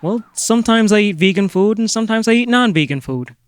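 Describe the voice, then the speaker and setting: A man's voice: high-pitched, animated, a little fast, background noise. A man playing an earnest, self-important part-time vegan sleuth in a comedic detective drama. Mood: earnest, smug.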